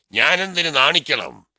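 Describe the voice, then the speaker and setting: A smug, brazen snake from an animated moral tale, acted by a man. The voice is piercing and swings widely in pitch, with a slow, sneering drawl, recorded very dry. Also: no echo, heavily acted. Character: smug, brazen egg-stealing male snake. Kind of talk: animated children's moral story.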